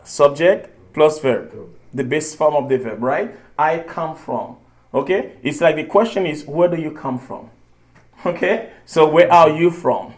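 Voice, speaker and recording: Patient man teaching English, somewhat high voice. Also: unhurried. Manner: patient, repeats phrases, switches into French. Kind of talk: classroom language lesson. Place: classroom.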